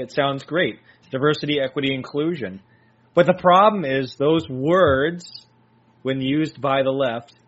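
Steady explaining man, muffled audio. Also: medium pitch. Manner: steady, explanatory, building an argument point by point. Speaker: co-host. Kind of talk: political commentary chat. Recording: dull lo-fi sound.

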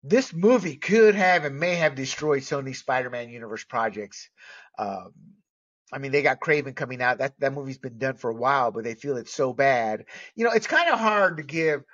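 A middle-aged American man giving opinionated solo commentary, emphatic and halting, stretching out key words. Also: mid-range voice, expressive, a bit bright. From United States. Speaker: movie commentator. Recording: dull, lo-fi sound.

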